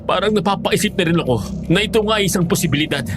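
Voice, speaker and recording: Man acting an earnest, high-voiced young husband. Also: rapid-fire, lightly acted. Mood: remorseful. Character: anguished young husband, loyal son, loving but hard-headed. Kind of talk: Filipino horror audio drama acting. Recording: music behind the voice.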